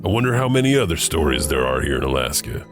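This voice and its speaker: Creepy janitor host with deep swooping voice, loud music behind. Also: male voice, sing-song, quick pace. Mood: eerie chatty. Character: creepy old hotel janitor host, chatty, eerie. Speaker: host narrator. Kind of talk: audio drama narration. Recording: music bed under voice.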